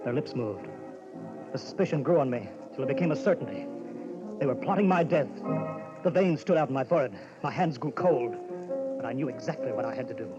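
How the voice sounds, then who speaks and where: An actor playing a bitter, sardonic drunken husband in a mid-century radio melodrama, brooding and taunting. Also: male voice, medium pitch, animated, fairly quick, natural voice. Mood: brooding, paranoid. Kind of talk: 1940s-50s radio drama acting with brooding narration. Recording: muffled vintage radio recording with music.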